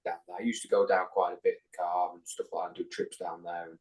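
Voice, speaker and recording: A man from England with a lowish voice spins a long, loose story in relaxed podcast chat. He swears at nearly every turn and trips over his own starts, filling gaps with ums and likes. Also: fast talker. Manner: rambling, swears constantly, many ums and restarts. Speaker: podcast guest. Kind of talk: casual chat, telling an anecdote.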